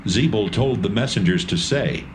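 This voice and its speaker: A man narrating a dramatised Bible reading in a steady, measured way. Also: lowish voice, slight background noise. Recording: narration over music.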